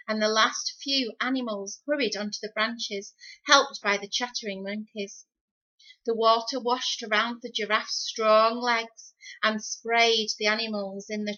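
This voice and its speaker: A woman reading a children's story expressively, with deliberate, dramatic phrasing. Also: fairly high pitch, pushed and bright. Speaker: storybook reader. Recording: slightly dull, lo-fi sound.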